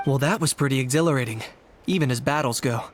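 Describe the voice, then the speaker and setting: A man voicing a calm young male warrior in a video game. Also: medium pitch, quick pace, a little hiss or hum, close to an everyday voice.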